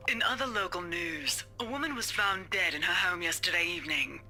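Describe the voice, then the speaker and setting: An actress playing a formal local TV newsreader in a game, reading a measured, serious report. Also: female voice, fairly low pitch, pushed and bright, soft background music, poor audio. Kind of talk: scripted TV news report.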